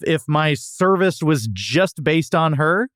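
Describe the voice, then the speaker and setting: Male host, casual comedic review chat, lively intonation, playful mock-strictness, very dry sound. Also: fairly high pitch, takes it slowly, dry recording. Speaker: podcast host.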